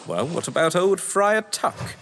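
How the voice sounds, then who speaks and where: An actor playing a whimsical, scheming crime-novelist lord of the manor, with a British accent and a pompous, florid delivery, in a stage-thriller audio drama. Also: male voice, high voice, very animated, a little slow, a bit bright, a little hiss or hum, exaggerated voice. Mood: breezy, persuasive. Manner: florid, pompous, playfully grandiose. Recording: long hall-like reverb.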